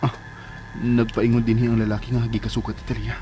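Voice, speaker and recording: A man's voice: medium pitch, completely flat delivery, brisk, noisy background, close to an everyday voice. A man acting in a Philippine radio drama as an inquisitive man secretly tailing someone, watchful, with a Filipino accent. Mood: watchful, suspicious. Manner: watchful, self-narrating, inquisitive.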